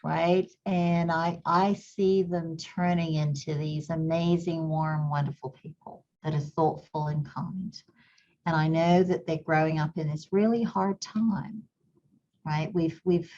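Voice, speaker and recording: A woman's voice: low voice, steady tone, unhurried, mellow, lo-fi sound, a little hiss or hum. A middle-aged woman who grew up in Kenya, with a slight English accent, talks earnestly as an interview guest and repeats words while she thinks. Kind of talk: reflective interview talk.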